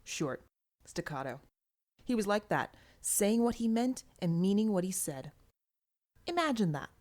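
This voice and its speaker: A woman's voice: mid-range voice, very animated, a little slow, darkish tone. A woman reading a first-person story aloud as an audiobook narrator. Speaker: audiobook reader. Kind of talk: scripted fiction narration.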